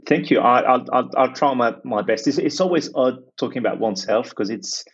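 A man's voice: medium pitch, animated, a little fast, breathy edge, dull audio. A man from France, talking in a reflective, hesitant way as a podcast guest, with many restarts and fillers. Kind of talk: reflective conversational interview.

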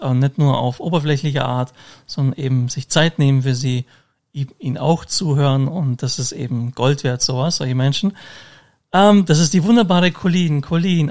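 A man hosting a casual interview podcast, rambling along with fillers and restarts. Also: on the high side. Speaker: podcast host.

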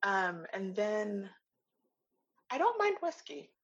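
A woman's voice: medium pitch, sing-song, takes it slowly, slightly rough. A woman hosting a podcast, chatting in a friendly, easy way. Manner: friendly, chatty, uses y'all. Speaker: podcast host. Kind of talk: casual interview chat. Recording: dull lo-fi sound.

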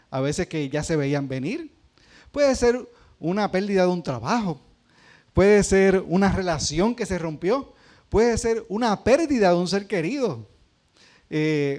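A man with a Puerto Rican accent preaching a sermon as pastor, talking to the congregation in a conversational way and asking them questions. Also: sits high. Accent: Puerto Rican Spanish. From Puerto Rico.

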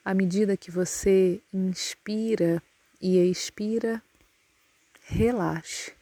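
A woman with a Brazilian Portuguese accent leads a guided yoga nidra meditation, giving calm, soothing instructions with long pauses. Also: mid-range voice, no room sound.

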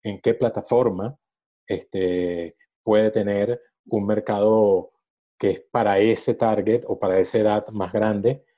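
Un hombre de unos sesenta años, productor de cine invitado a un podcast, que piensa en voz alta con muchas muletillas. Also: male voice, on the low side, steady tone, slow, warm tone. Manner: thinking aloud, many fillers. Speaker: film producer guest. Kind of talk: casual podcast interview.

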